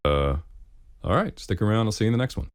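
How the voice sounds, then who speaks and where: A man giving vocal instruction in a calm, explanatory way. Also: on the low side, quick pace, clean tone, no echo, studio quality. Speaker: vocal coach. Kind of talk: instructional video.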